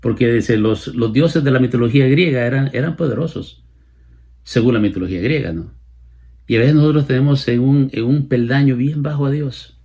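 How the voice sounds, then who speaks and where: Male preacher, late 50s, Salvadoran accent, medium pitch, slightly rough husky tone, expository sermon delivery with emphatic repetition. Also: fairly quick, warm tone. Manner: repeats phrases for emphasis.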